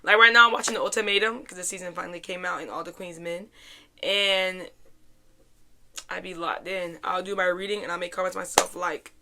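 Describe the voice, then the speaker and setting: A young woman hosting a casual, chatty life-update podcast in an informal, slangy way, her voice a little raspy and hoarse. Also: fairly low pitch, steady tone, fairly quick, noisy background. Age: young adult. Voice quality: slightly raspy, worn voice. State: hoarse after a weekend out. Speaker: podcast host.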